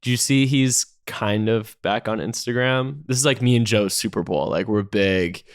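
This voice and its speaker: A man's voice: fairly low pitch, normal speed, smooth, no echo, clean studio sound. A man co-hosting a podcast in casual chat, playful and teasing, swearing casually. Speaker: podcast co-host.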